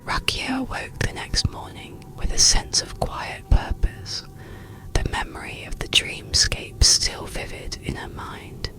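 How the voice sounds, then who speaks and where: A narrator reading a scripted bedtime story in a breathy, unvoiced ASMR whisper, soothing and hushed, with unhurried phrasing. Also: rough recording. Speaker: audiobook narrator.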